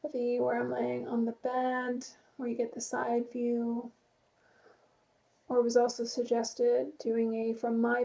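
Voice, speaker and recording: High, gravelly, flat-toned woman streamer. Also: muffled recording. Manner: relaxed, rambling, chatty with light laughs. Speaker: live streamer. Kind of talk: casual chat to viewers, reading chat aloud.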